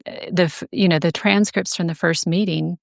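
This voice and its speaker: A woman explaining things as an interview guest, in a measured, detailed, conversational way. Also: on the low side, fairly even intonation, creaky, no echo, studio quality. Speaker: guest, advocacy group director.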